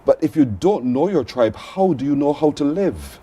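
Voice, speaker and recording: A man with a Barbadian accent hosting a morning broadcast interview in measured, reflective phrases. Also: on the high side, big pitch swings, dark tone, slightly rough. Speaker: morning show host.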